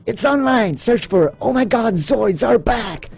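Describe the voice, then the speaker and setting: A man voicing a cheeky, excitable young boy in an amateur cartoon-style comic dub. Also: very high-pitched, unhurried, creaky, slightly rough, faint music behind, voice pushed up, exaggerated voice. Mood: cheeky, casual.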